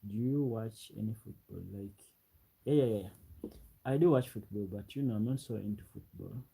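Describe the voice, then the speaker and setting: A young man with a very dark, husky voice with a gravelly edge. He chats in a relaxed, rambling way on a late-night livestream and often repeats his phrases. His voice sits a little low. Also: moderate pace. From Uganda. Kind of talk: late-night livestream chat, reading comments.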